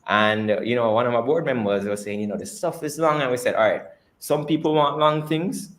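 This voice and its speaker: A man's voice: medium pitch, brisk. A man with a Jamaican accent speaking as a company executive on an earnings call, conversational, often repeating and rephrasing his words. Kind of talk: earnings call presentation and answers.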